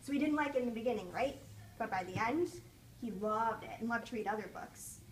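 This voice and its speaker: High-voiced woman reading a storybook aloud. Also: expressive, fairly quick. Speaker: student teacher reading aloud to children. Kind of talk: children's storybook read-aloud with questions. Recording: distant mic with faint background noise.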